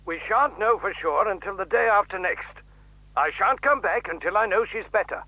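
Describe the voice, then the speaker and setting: A man's voice: sits high, lively intonation, normal speed, pushed and bright, no echo, full character voice. A grave father on the phone. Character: worried, reserved father. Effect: telephone line.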